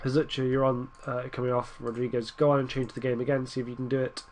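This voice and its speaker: A man's voice: medium pitch, flat delivery, quick pace. A young man with a stuffy nose from a cold, rambling through casual commentary over a football management game and urging his team on. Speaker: gaming YouTuber.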